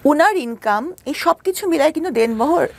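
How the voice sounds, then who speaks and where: A woman with a Bangladeshi accent giving a candid, personal account in a radio interview, halting at times and re-explaining herself as she goes. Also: sits high, expressive, vocal fry. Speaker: interview guest telling her life story; doctor. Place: radio studio.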